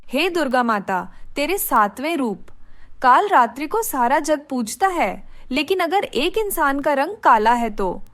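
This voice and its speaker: A woman's voice: sits high, very animated, measured pace, no echo. A woman voicing a devout, mistreated young daughter in a dramatized moral story, speaking in an earnest, emotional, pleading way. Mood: anguished prayer.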